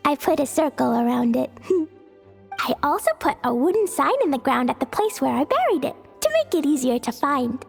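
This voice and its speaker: A sweet, excitable little girl from an anime game. The voice is extremely high and bouncy in pitch, slow and earnest in a childlike way, with faint music underneath. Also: vocal fry, full character voice. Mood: eager. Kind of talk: anime video game voice acting.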